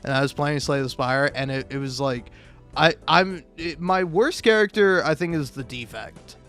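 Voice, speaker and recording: Rambling, sweary man with a higher-pitched voice. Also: normal speed, pushed and bright, faint music behind. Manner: sweary, self-deprecating, meandering; chuckles often while talking. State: high on drugs. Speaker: video game streamer. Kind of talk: casual rambling gameplay commentary.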